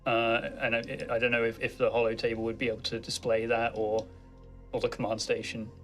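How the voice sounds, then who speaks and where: Mid-pitched man playing a tabletop game. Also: completely flat delivery, a little fast, faint music behind. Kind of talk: casual in-game chat.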